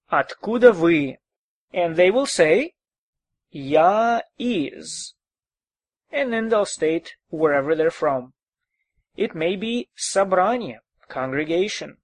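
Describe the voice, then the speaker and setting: A man's voice: a little high, dry recording. A man teaching a recorded language lesson, patiently explaining phrases and drilling them by repetition.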